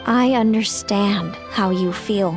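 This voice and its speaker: A woman voicing a gentle, solemn sister in a video game, speaking in a measured, philosophical, resigned way. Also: mid-range voice, faint music behind. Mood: gently reflective. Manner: measured, philosophical, soft-hearted. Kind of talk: scripted video game voice acting.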